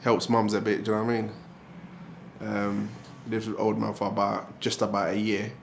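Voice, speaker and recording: A young man from London talks through his life story in an easy, chatty way. His voice is low and gravelly. A faint room hum sits behind him. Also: moderate pace. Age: young adult. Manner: relaxed, casual, with frequent "do you know what I mean". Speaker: hostel resident rep. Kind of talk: interview about his life.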